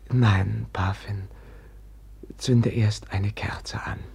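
An actor in a 1950s radio drama playing a gentle, trembling young prince keeping vigil, speaking hushed and halting. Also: male voice, on the low side, steady tone, gravelly, breathy edge, heavily acted. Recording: old 1950s mono radio recording.

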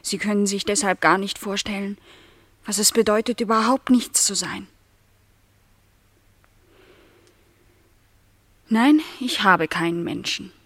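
An actress playing a lonely twenty-year-old housemaid in a radio play, wistful and stubborn, speaking earnestly and insistently. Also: female voice, mid-range voice, no echo, close to an everyday voice. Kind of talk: literary radio play dialogue.

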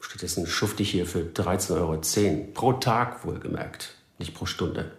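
An actor playing an aggrieved long-serving prisoner in a radio crime drama, grumbling and pedantic, in an echoey room. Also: male voice, low-pitched, unhurried.

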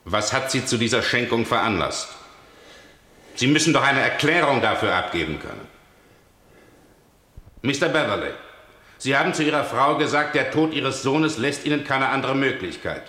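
An actor playing a stern judge in a radio play, questioning in a formal and insistent manner. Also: male voice, medium pitch, animated, moderate pace, long pauses, sharp tone, echoey room. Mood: formal, probing. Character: stern male judge pressing for answers. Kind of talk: German radio play acting.

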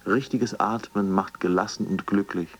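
A man's voice: lowish voice, fairly even intonation, normal speed, vocal fry, slightly rough, soft background music. An actor playing a male motivational fitness coach who delivers polished instructional slogans in a radio drama. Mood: assured, instructive.

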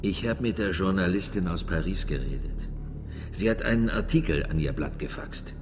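An actor playing a defensive small-town French mayor in a radio crime drama, insistent and wary of outsiders. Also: male voice, deep voice, lively intonation, normal speed, background noise. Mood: urgent, threatening.

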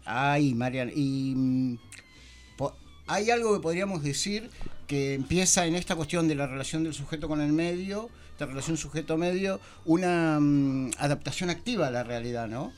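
An Argentine man hosting a radio talk show, speaking informally and haltingly as he searches for words in a discussion. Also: on the high side, a little mellow. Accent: Argentine Spanish. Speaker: radio host. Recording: music under the voice. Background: music.

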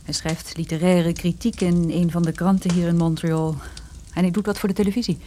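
Mellow, worldly lady lawyer played by an actress. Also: fairly low pitch, level tone, a little fast, a little hiss or hum, close to an everyday voice. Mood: cool, dismissive. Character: poised, worldly, glamorous woman lawyer. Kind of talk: radio drama acting.

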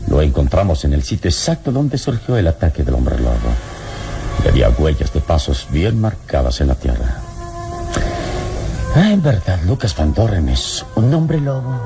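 An actor playing a noble, astute adventurer hero in a radio drama, speaking with solemn, measured authority. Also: male voice, sits low, big pitch swings. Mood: grave, deductive. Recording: old radio drama with music behind.

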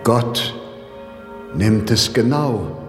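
A man's voice: lowish voice, lively intonation, very slow. An actor playing a solemn, foreboding man in a radio play, in an echoing hall with music.

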